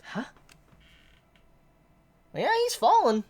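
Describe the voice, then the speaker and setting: A woman giving a chatty, excitable live manga reaction while congested from a head cold. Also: sits high, drawn-out words. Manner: excitable, chatty, exclaims often.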